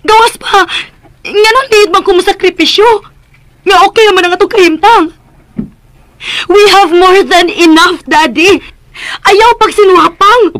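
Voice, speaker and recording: Indignant rich young daughter, extremely high voice, Visayan accent, defiant, melodramatic radio drama. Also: female voice, unhurried, long pauses, fairly bright tone, no echo. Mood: angry, defiant. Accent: Visayan Filipino. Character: defiant, wealthy young daughter resisting arranged marriage.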